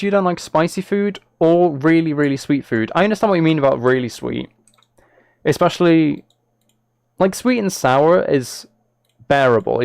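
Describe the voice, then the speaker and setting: A man's voice: mid-range voice, gravelly, darkish tone, clear, resonant tone, no echo. A man chatting casually on a live game stream, thinking aloud as he plays and replying to viewers.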